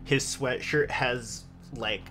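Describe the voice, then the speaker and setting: A man narrating a tabletop roleplaying game as game master, improvising dramatically with deliberate pauses. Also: medium pitch, fairly even intonation, music in the background.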